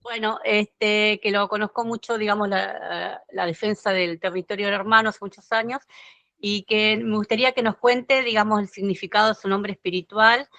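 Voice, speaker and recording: A woman's voice: medium pitch, steady tone, normal speed, a bit bright, no room sound. A woman taking part in an online meeting, asking the guest a long, rambling question while searching for words. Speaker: workshop participant. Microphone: video call.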